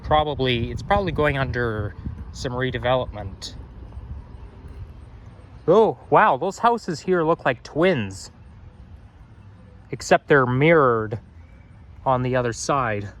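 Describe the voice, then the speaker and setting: A young man from Toronto, slightly hoarse with a frog in his throat, rambling and correcting himself as he narrates a street walk outdoors. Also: medium pitch, expressive, takes it slowly, pauses often, a bit bright. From Toronto, Canada. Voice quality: strong, slightly growly. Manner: rambling, self-correcting, musing aloud. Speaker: walking-tour vlogger. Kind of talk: casual walking narration. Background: faint passing traffic.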